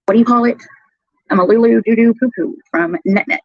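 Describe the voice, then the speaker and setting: A woman's voice: fairly high pitch, a little fast, no room sound. A woman chatting casually on a live stream in a giggly, crude, incredulous manner. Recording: dull, lo-fi replayed stream audio.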